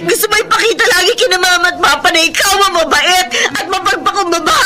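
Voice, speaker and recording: An actress playing a resentful, volatile younger sister in a Filipino radio drama, with wailing, defiant delivery. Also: female voice, very high voice, fast talker. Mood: bitter, tearful. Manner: wailing, defiant, melodramatic outbursts. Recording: loud dramatic music underneath.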